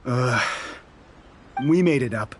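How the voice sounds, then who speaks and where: A man's voice: mid-range voice, animated, slow, slight background noise. A man playing a brash, defiant resistance soldier in a video game, talking in a combative, emphatic way.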